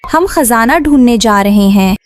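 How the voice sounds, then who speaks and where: A woman's voice: a little high, expressive, measured pace, no echo. A woman playing a friendly, reassuring child in a children's cartoon adventure story. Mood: excited.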